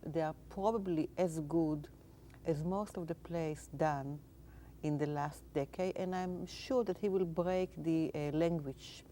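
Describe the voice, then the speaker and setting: A woman from Israel, a theater teacher, speaking as a guest in an interview, measured and sometimes searching for words. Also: sits low, fairly even intonation, mellow, a little hiss or hum. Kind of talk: interview conversation.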